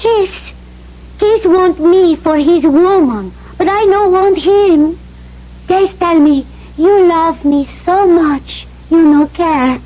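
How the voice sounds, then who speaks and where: An actress playing a meek, devoted young island wife in an old radio drama, speaking simple, halting broken English. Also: female voice, very high-pitched. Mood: meek, sad. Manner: simple halting pidgin phrasing. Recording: muffled old radio broadcast.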